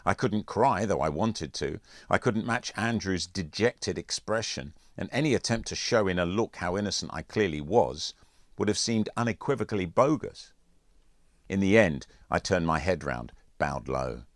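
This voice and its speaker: A man's voice: deep voice, lively intonation, normal speed, no room sound, studio quality. A man reading a scripted first-person story as an audiobook narrator. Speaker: author reading own audiobook.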